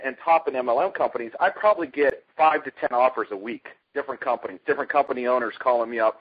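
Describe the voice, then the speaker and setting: An American man, middle-aged, giving an informal, emphatic sales pitch as a network marketer, telling stories and asking rhetorical questions. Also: slightly rough. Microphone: telephone conference call. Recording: muffled, dull conference phone line.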